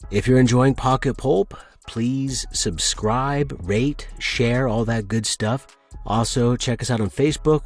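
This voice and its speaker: A man's voice: lowish voice, darkish tone, husky. A man hosting a story podcast and reading scripted narration. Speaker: podcast host and story reader.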